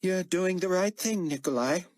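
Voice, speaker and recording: A man's voice: high-pitched, moderate pace, darkish tone, no echo, voice pushed up, close to an everyday voice. A man playing a ruthless woman adviser. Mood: cold. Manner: cool and approving of killing.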